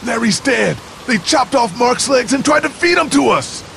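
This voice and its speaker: Urgent, gravelly man pleading in a game. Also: extremely high pitch, animated, normal speed, heavily acted. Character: tense, protective man pleading and negotiating for hostages. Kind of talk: dramatic video game acting. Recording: loud music behind.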